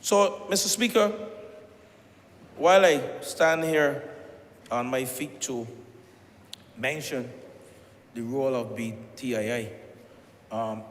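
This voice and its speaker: A man with a Barbadian accent giving a deliberate parliamentary speech, stressing each site he names. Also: medium pitch, a little hiss or hum. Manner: deliberate address with rising emphasis on listed sites. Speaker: member of parliament. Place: parliament chamber. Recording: echoey chamber with long reverb.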